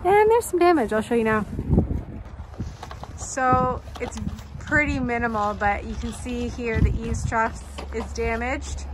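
A woman's voice: on the high side, a little slow. A woman in her thirties giving casual vlog narration outdoors on a farm, recorded on a phone held at arm's length. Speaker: dairy farm vlogger and mother. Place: outdoors on a snowy farmyard. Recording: clear outdoor wind and vehicle noise.

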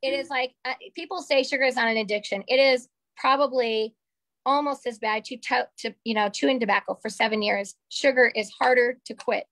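A woman in her forties with a slightly raspy voice, sharing a candid personal story on a video call from a small room at home. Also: a little high, steady tone, a little fast, a bit bright, no echo. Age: 40s. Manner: candid, chatty, gestures with her hands. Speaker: call participant. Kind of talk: casual personal testimonial. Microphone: webcam on a video call.

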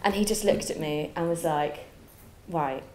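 A woman's voice: low-pitched, unhurried, darkish tone, roomy sound. Chatty young Welsh woman lecturing. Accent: faint Welsh. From Wales. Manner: chatty, self-deprecating, many fillers and restarts. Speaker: guest lecturer. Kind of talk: conference talk. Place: dark lecture theatre.

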